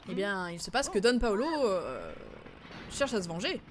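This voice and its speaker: A woman's voice: medium pitch, big pitch swings, moderate pace, background noise. A woman streamer giving casual game commentary, explaining the story in a hesitant, digressive way.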